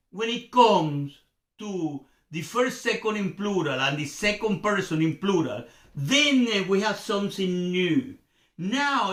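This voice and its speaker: Male language tutor, Venezuelan accent, native Spanish speaker; highish lively voice, informal and didactic, repeats examples for learners. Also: roomy sound. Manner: didactic, repeats examples, checks understanding with questions. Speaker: language teacher and host. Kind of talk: informal Spanish grammar lesson.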